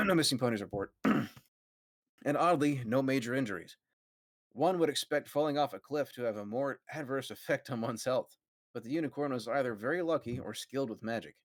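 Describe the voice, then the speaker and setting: A man narrates a story in a very dry, close recording, with an average-pitched voice. He reads as the narrator of a casual group reading and slips in amused asides to his friends. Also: brisk, no room sound.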